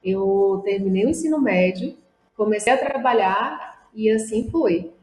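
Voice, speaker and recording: A woman's voice: mid-range voice, animated, a little slow, a little mellow, a little hiss or hum. A young woman, a former TV reporter, answering interview questions in a reflective, conversational way. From Luziânia, Goiás, Brazil. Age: young adult. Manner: reflective, with frequent "e aí" and "assim". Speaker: guest, former TV reporter, communications business owner. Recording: echoey room.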